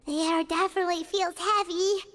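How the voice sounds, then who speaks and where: Squeaky childlike fairy companion. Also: female voice, steady tone, normal speed, fairly bright tone. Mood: earnest, puzzled. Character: small childlike fairy companion, squeaky, earnest, easily alarmed. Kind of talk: video game character dialogue.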